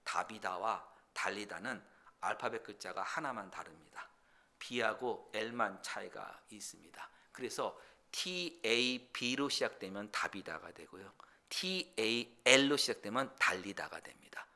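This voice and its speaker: Male pastor mid-sermon; very bright, sharp tone; earnest, moves between loud pleading and calm teaching. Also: lowish voice, measured pace. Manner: earnest preaching that swings from loud, pleading passages to quiet explanation. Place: church sanctuary.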